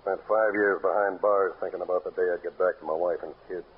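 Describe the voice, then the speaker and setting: A man's voice: low-pitched, fairly even intonation, fairly quick, breathy edge. A man playing a sly, menacing ex-convict in an old-time radio western, with slangy tough-guy talk. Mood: casual, folksy. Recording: muffled, noisy old radio recording.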